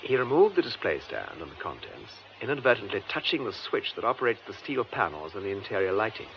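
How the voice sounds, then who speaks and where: An actor playing a composed, methodical English police superintendent in a radio drama, with measured, formal, precise delivery. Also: male voice, lowish voice, sing-song. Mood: grave, narrating. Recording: muffled lo-fi radio drama, faint noise.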